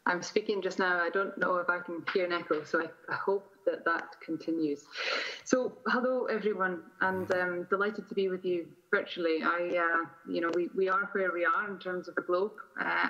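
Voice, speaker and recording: Shetland Scottish woman on a muffled call. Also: fairly low pitch. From Shetland Islands, Scotland. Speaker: energy sector representative, panel speaker. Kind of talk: conversational conference address. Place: remote call into a conference. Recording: dull lo-fi video call audio.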